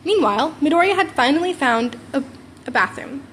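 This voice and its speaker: A woman's voice: high voice, animated, brisk, a bit bright. A woman reading a story aloud as an amateur narrator, stumbling and rereading words now and then. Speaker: amateur fan fiction narrator. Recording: home recording with background noise.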